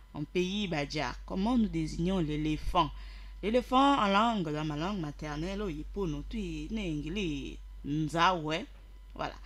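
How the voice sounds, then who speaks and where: A man's voice: sits high, a bit bright. A man presenting a radio show, teaching listeners directly and repeating key phrases for emphasis. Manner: didactic, addresses listeners directly. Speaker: radio host.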